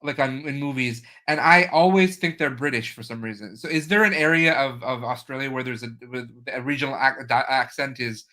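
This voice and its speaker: A man hosting a casual video-call interview, enthusiastic and halting, with many fillers. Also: medium pitch, sharp tone. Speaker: podcast host.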